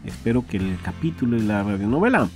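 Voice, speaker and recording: A man's voice: lowish voice, very animated, fast talker, a little mellow. A man hosting a podcast of old radio serials, speaking in a casual style. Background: faint music.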